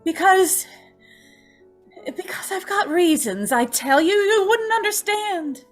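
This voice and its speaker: A woman's voice: very high voice, expressive, takes it slowly, faint music behind, full character voice. A woman playing a tormented, pleading young woman, tearful and melodramatic in an old-time radio drama. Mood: lonesome, pleading. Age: young adult. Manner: tearful, breathlessly emotional, melodramatic.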